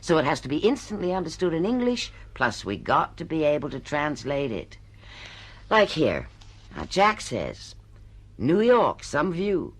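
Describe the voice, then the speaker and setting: An actress playing a shrewd, domineering woman editor in a radio drama, with an emphatic, brisk, bossy manner. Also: deep voice, sing-song, husky, exaggerated voice. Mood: bossy, instructing. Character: brash, domineering female book editor, shrewd and pushy.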